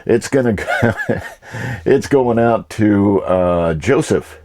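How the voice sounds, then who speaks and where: A man's voice: on the low side, lively intonation, slow pace, a little mellow, dry recording, clean studio sound. A man giving a casual, rambling and easygoing vlog talk as an online reseller.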